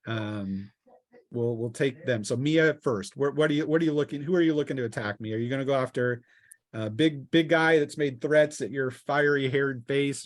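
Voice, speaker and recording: A man acts as game master at a tabletop session, narrating the action in a casual, descriptive way. His voice sits at a middling pitch, and the sound is dry with little room in it. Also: dry recording.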